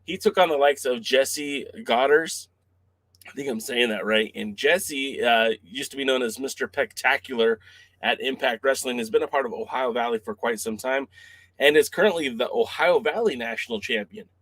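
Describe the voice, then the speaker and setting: A man's voice: medium pitch, normal speed, a bit bright, no room sound, rough recording. A man hosting a wrestling news podcast who is losing his voice, talking casually with many fillers and restarts. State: losing his voice, clearing throat. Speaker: podcast host.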